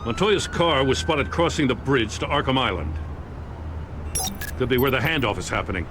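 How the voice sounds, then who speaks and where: Brief: actor doing earnest tired commissioner, middle-aged, animated delivery. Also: male voice, mid-range voice, moderate pace, lightly acted. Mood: weary. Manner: weary, earnest. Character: weary middle-aged male police commissioner. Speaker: police commissioner. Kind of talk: video game voice acting. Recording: game audio with background noise.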